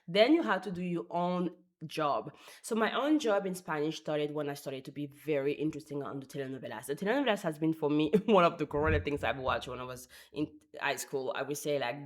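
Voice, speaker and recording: Young adult woman, deep for a woman; chatty vlogger, animated with wry asides. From France. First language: French. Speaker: language vlogger. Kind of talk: casual talk to camera.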